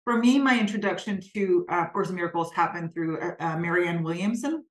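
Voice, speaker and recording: A woman's voice: fairly low pitch, brisk, reverberant. A woman speaking as a podcast guest, earnest and reflective, often searching for her words. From Denmark. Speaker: guest, spiritual business coach. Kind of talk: conversational interview.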